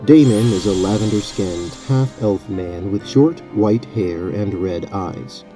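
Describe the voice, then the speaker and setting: A man narrating a scripted fantasy tabletop story as game master in a measured, descriptive way. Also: fairly low pitch, over music.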